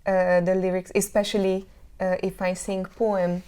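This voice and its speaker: A woman's voice: medium pitch, little pitch movement, measured pace, dark tone, clean tone. A woman with a Hungarian accent, a singer answering interview questions thoughtfully, often restarting her sentences as she searches for words. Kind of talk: casual interview talk.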